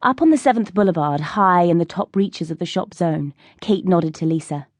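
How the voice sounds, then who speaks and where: A woman's voice: fairly low pitch, animated, normal speed, a little mellow, no room sound. A woman reading scripted fiction as an audiobook narrator.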